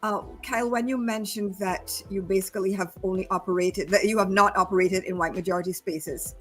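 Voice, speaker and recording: A woman from Barbados with a Barbadian accent, reflecting on personal experience in a panel discussion, deliberate and emphatic in her repetition. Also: mid-range voice, fairly even intonation, soft background music. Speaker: panel speaker.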